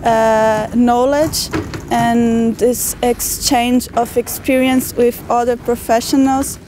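Slow, even woman from Brazil. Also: on the high side. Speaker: master's student. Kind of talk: testimonial to camera. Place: outdoors in front of a university building. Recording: clear outdoor traffic noise.

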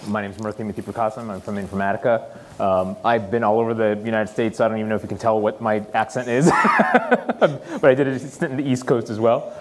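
A man's voice: on the low side, brisk. A man introducing himself as a co-presenter at a conference in a friendly, upbeat way. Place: large conference stage.

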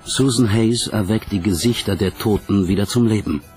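A man narrates a documentary in a low-pitched voice. He reads from a script, and music plays clearly behind him. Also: normal speed, a little mellow.

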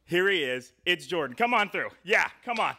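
Bright, chatty young male host. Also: high-pitched, sing-song, normal speed, a little hiss or hum. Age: 20s. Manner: jokey, chatty. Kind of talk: casual live presenting. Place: indoor studio. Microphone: handheld microphone on stand.

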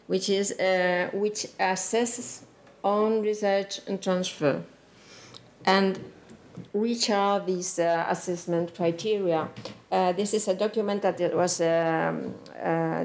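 A middle-aged woman delivers an academic lecture in English. She speaks slowly, at a medium pitch for a woman, and her phrasing is halting, with many pauses as she searches for the next word. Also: a little mellow. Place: lecture room with green board, indoors.

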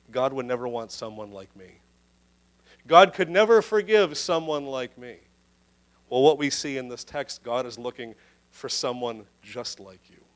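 A man in his thirties preaching a sermon into a handheld microphone indoors, earnest and emphatic, slowing down to stress key phrases. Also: medium pitch. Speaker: pastor. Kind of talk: livestreamed sermon. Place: indoor church room.

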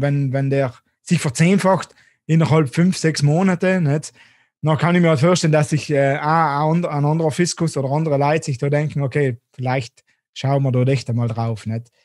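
A man in his thirties with a South Tyrolean German accent, hosting an interview over a video call from a small dim room, talking haltingly with many restarts. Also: fairly high pitch, darkish tone, no echo, clean studio sound. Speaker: host. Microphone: video call webcam.

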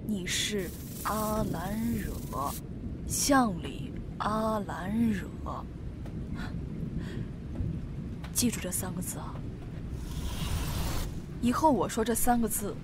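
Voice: medium pitch, lightly acted. An actor voicing a tender, protective young male priest in a fantasy audio drama. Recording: loud music bed under the voice.

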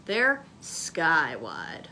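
A woman voicing an eager, excitable little girl from a storybook. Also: low-pitched, extremely slow, slight background noise, pitched below the performer's own voice, exaggerated voice.

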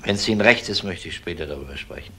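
An actor playing a composed, probing police detective in a crime radio play, questioning politely and methodically. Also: male voice, sits low, expressive, quick pace, bright, forceful tone, slightly rough, full character voice.

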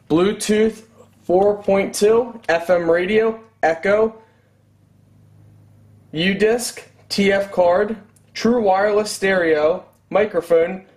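Guy in his 30s doing a casual unboxing, talks really slow, voice a bit on the high side. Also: darkish tone. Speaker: product reviewer. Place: room at home.

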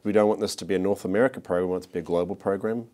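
A man in his forties speaks with a New Zealand accent in a very deep, low voice. He answers questions in a corporate interview, pausing with fillers and restarting his sentences often. He is recorded seated on a studio set with a clip-on mic. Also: fairly even intonation, dark tone. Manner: hesitant, many restarts and fillers. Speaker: marketing executive.

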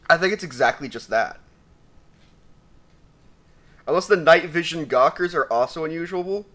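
A young man with a raspy voice giving casual, profane commentary while opening loot, swinging between mumbling and shouting. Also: high-pitched. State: raspy from sneezing. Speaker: gaming YouTuber.

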